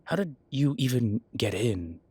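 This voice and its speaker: A man playing an exasperated, evasive demon professor in an audio roleplay, speaking haltingly and defensively with muttered asides. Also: mid-range voice, big pitch swings, darkish tone, slightly rough, dry recording, exaggerated voice. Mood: flustered, evasive. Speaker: voice actor. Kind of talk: audio roleplay acting, one-sided dialogue.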